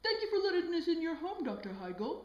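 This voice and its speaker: A woman's voice: extremely high pitch, big pitch swings, fast talker, darkish tone, highs cut off. A woman interviewer in an amateur health skit, presenting scripted lines with slips and a playful, giggly manner. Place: indoor room, amateur student video. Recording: echoey room with background noise.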